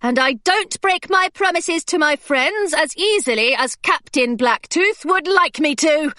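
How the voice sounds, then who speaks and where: A woman's voice: high-pitched, lively intonation, measured pace, sharp tone, dry recording, studio quality, voice pushed up, full character voice. A woman voicing a bold, fierce young girl adventurer who talks in a defiant, spirited way.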